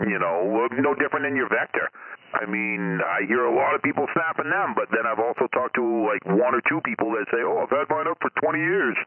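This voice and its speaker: A man's voice: on the low side, no echo, phone-quality sound. A man chatting casually and profanely over CB radio, rambling through his opinions as a hobbyist. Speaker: CB radio hobbyist, net regular. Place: CB sideband radio live stream. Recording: band-limited CB radio sound.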